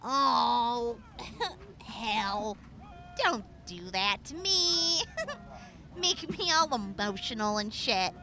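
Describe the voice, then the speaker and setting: A woman's voice: on the high side, very animated, extremely slow, exaggerated voice. A woman playing a brash, foul-mouthed young raider in a wasteland audio drama, cocky and hot-tempered in her delivery. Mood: wry, touched. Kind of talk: post-apocalyptic audio drama acting.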